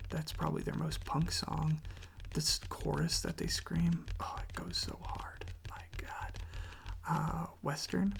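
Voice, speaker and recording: An ASMR creator speaking very softly and casually into a close microphone, with a breathy, creaky voice and a meandering, quietly enthusiastic way of talking. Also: medium pitch. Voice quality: whispery, breathy with creaky fry. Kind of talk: soft-spoken ASMR, rambling music talk.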